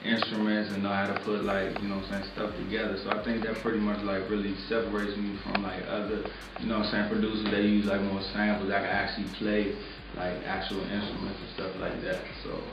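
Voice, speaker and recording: Young DC-guy, lowish voice, super laid-back, says "like" a lot, echoey room, mic far off. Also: completely flat delivery, fairly quick, muffled recording, noisy background. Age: 20s. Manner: laid-back, filler-heavy, lots of "like" and "you know what I'm saying". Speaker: music producer, guest. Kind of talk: casual interview answers. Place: small recording studio.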